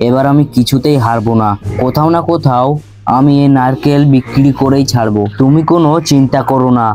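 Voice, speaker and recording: A man voicing a kind, trusting, humble younger brother from a village in an animated folk tale, earnest and mild. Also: mid-range voice, fairly quick, soft background music, natural voice. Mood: worried, resolute.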